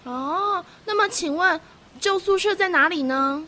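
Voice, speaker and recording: A woman's voice: very high-pitched, measured pace, sharp tone, exaggerated voice. A woman acting a timid, whiny, easily frightened schoolgirl in a student radio drama, with a Taiwanese accent. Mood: polite. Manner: whiny, pleading.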